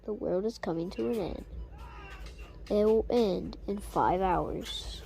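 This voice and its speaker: A woman playing a solemn, resigned emergency alert announcer, with a halting, scripted delivery. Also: mid-range voice, gravelly, mellow, a little hiss or hum.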